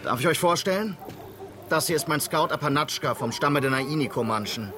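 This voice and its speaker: A man's voice: on the high side, moderate pace, lightly acted. An actor playing a composed, courteous but firm frontier policeman in a German western radio drama.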